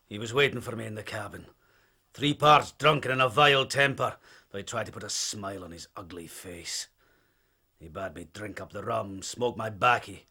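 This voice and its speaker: An actor playing a rough, sly old Scottish sailor in an audio drama, muttering his yarn hoarsely with sudden swells. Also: fairly low pitch, bright, forceful tone, full character voice.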